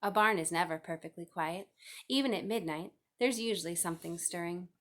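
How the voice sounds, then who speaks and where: A woman's voice: on the low side, brisk, fairly bright tone. A woman reading a children's novel aloud as scripted narration. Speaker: storybook reader.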